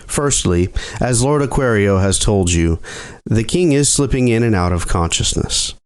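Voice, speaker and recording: An actor playing a grave, formal chief scientist and court doctor in an audio drama, speaking deliberately and ominously. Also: male voice, on the low side, expressive, clean tone, lightly acted. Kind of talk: scripted audio drama acting.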